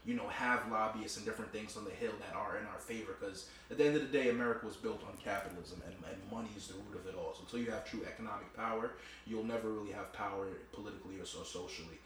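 A man from Queens, hosting a podcast in an animated, enthusiastic conversational way. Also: lowish voice, fast, heavy reverb, far from the mic, slight background noise. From Queens, New York. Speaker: podcast host. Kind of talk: casual podcast chat.

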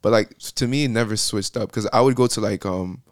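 A man's voice: lowish voice, fairly quick, no room sound, clean studio sound. A young man from Miami, a podcast guest, talking casually and rambling with lots of fillers. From Miami, Florida. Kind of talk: casual interview chat.

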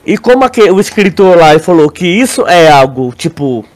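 A man with a Brazilian accent in a casual podcast discussion, explaining in a halting way, repeating words and often checking that his listener agrees. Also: fairly high pitch, big pitch swings, darkish tone. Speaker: podcast co-host.

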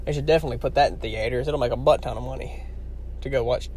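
A man's voice: mid-range voice, quick pace, darkish tone, slight background noise. Chatty young man, heavy Louisiana drawl. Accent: heavy Southern US, Louisiana. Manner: chatty, rambling, talks straight to viewers. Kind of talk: casual talk to camera.

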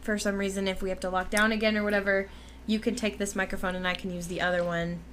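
A woman's voice: medium pitch, level tone, fast talker, fairly bright tone, a little hiss or hum. A young woman co-hosting a podcast, chatting casually with fillers, restarts and frequent laughs. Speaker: podcast co-host. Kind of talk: casual chat.

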